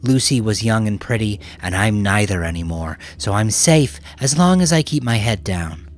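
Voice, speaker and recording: A man's voice: on the low side, lively intonation, moderate pace, a little hiss or hum, natural voice. A man voicing a hard-bitten, defiant middle-aged woman sex worker in an audiobook, with a scornful, mocking manner. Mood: angry, defiant. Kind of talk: audiobook character voice.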